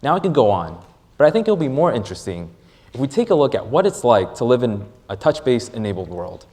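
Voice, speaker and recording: A man presenting a rehearsed product pitch as a startup presenter, with deliberate, emphatic phrasing. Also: sing-song, darkish tone.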